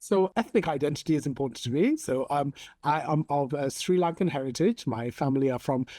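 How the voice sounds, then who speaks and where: A man in his forties, raised in Hong Kong, speaking as an interview guest in a measured, thoughtful way with frequent ums. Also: on the high side, animated, dark tone, no echo, clean studio sound. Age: 40s. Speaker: interview guest, storytelling coach. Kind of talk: conversational interview.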